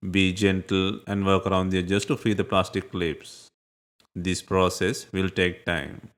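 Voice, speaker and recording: Male tutorial narrator, low voice, measured and plainly instructive delivery of scripted how-to steps. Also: little pitch movement, a bit bright.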